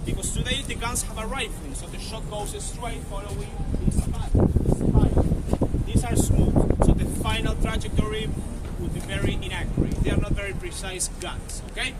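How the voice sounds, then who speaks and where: High-voiced male guide with the flu. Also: fairly even intonation, moderate pace. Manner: explanatory, tags sentences with "okay?", wry asides. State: has the flu. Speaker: tour guide at a gun battery. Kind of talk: live guided demonstration. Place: outdoors at a harbour battery. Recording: distant voice with loud outdoor noise.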